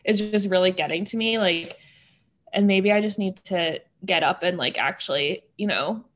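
A woman's voice: lowish voice, normal speed. A young woman co-hosting a personal finance podcast, chatting casually with lots of "like" and easy laughter. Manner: chatty, many "like" fillers, laughs easily. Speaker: podcast co-host. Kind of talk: casual chat.

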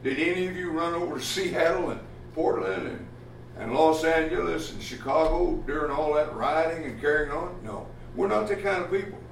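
A man preaching a sermon as pastor, plainspoken and folksy, often pausing with "uh" as he talks. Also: on the high side, gravelly, slight background noise. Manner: plainspoken, folksy, halting with many uh fillers. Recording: echoey room from far off, faint ambience.

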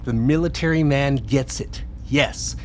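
A man's voice: medium pitch, a little slow, slight background noise, natural voice. A man playing an enthusiastic, sweary hacker researcher in a radio play, explaining things casually. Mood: excited, geeky. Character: excitable, laid-back hacker researcher, obsessed with mysterious transmissions.

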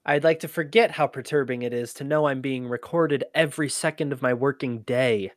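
An actor playing an inquisitive human archivist in an audio drama, with a conversational, halting, often exasperated manner. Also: mid-range voice, no room sound, clean studio sound, close to an everyday voice. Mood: frustrated, insistent. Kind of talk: scripted audio drama acting.

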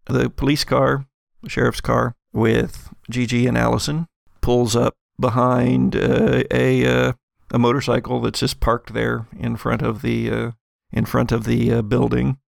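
An American man improvising descriptive narration as game master of a tabletop story, in a halting delivery. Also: medium pitch, completely flat delivery, gravelly, dry recording, clean studio sound. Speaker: game master of an actual-play audio drama.

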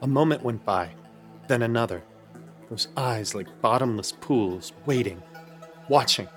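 A young man giving wry, measured first-person narration in a horror comedy radio play. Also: mid-range voice, over music. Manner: wry, measured storytelling with nervous asides.

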